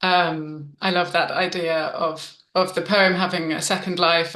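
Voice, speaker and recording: Bright-voiced woman hosting with roomy sound. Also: low-pitched. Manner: warm, with frequent ums and restarts. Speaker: event host. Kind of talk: hosting a literary awards event.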